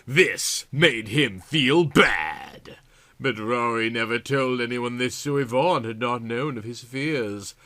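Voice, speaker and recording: A man's voice: medium pitch, fairly bright tone. A man reading a story aloud in a theatrical, comic style with exaggerated, melodramatic delivery. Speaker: audiobook reader.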